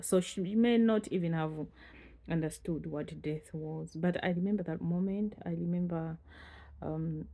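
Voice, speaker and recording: Slow, low-voiced Malawian woman reminiscing. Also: warm tone. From northern Malawi. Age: 40s. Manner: unhurried, with many pauses and fillers. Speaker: storyteller recounting her life. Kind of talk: reflective personal storytelling.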